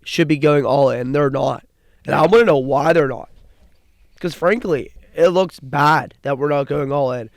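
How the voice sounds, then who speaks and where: A man's voice: fairly high pitch, moderate pace, gravelly, no echo, studio quality. A young man who stutters, co-hosting a casual sports podcast in an earnest way, often restarting and repeating words. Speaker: podcast co-host.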